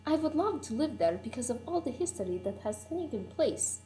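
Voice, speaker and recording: A woman's voice: on the high side, very animated, a little fast, mellow, slight background noise. A woman playing a fond tourist remembering a trip to France in a scripted puppet show. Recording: echoey room.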